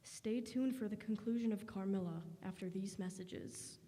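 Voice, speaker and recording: A woman's voice: mid-range voice, moderate pace, dark tone, in a large hall. A woman reading scripted narration for a radio drama. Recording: hollow room, faint background noise.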